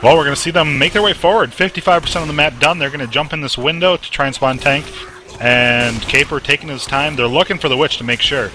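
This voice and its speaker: A man calling a competitive video game match play by play, brisk and teasing toward his co-caster. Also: a little high, bright, forceful tone, slight background noise. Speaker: esports commentator. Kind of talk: live play-by-play commentary.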